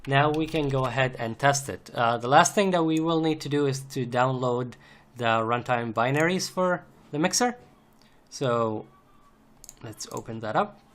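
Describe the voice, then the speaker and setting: A man's voice: medium pitch, moderate pace, a bit bright. A man giving an unscripted screencast programming tutorial, explaining methodically step by step as he types. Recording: noisy, keyboard clicks and typing. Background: computer keyboard typing and clicks.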